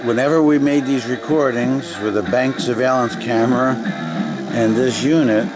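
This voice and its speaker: A man's voice: medium pitch, steady tone, normal speed, over loud music, low-quality recording. A man casually demonstrating old equipment, thinking aloud in a tentative, unscripted way as he goes. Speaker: demonstrator of vintage video equipment.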